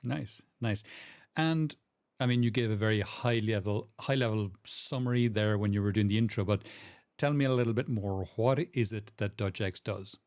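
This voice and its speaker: A man's voice: fairly low pitch, normal speed, a little mellow, no echo, studio quality. A man hosting a podcast, asking his guest conversational follow-up questions. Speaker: podcast host. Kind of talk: podcast interviewing.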